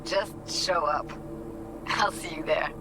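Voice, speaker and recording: An actress playing a wry, guarded young American street techie in a video game, with slangy, clipped talk. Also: female voice, fairly low pitch, slow pace, noisy background. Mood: teasing. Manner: slangy, clipped, teasing, breaking into breathy asides. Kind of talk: video game dialogue acting.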